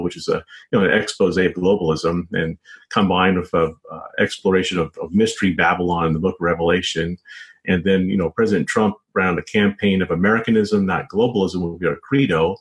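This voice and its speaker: A man speaking as an interview guest, a journalist and author, answering conversationally in a wordy, halting way full of verbal padding. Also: sits low. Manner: hesitant, wordy, frequent "you know" and "sort of".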